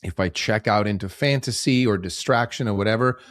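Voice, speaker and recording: Man in his fifties, low and dry. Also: a little fast, fairly bright tone, no room sound. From Switzerland. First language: German. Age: 50s. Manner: reflective, asks himself rhetorical questions. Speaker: podcast guest, movement founder. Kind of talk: casual interview conversation.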